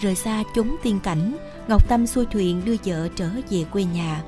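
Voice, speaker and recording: A woman narrates a scripted folk tale as the storyteller. Her voice sits at an average pitch for a woman. Soft music plays behind her. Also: moderate pace.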